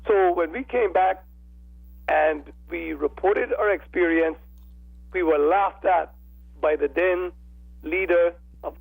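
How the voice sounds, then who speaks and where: An older man with a croaky, raspy voice, giving deliberate, formal political commentary as a phone-in caller. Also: a little high, a little mellow, dry recording. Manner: deliberate, formal, oratorical phrasing. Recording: telephone call into radio show.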